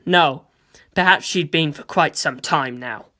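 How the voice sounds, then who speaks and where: Bright, forceful story narrator. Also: mid-range voice, measured pace. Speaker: audiobook reader. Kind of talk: expressive first-person story narration.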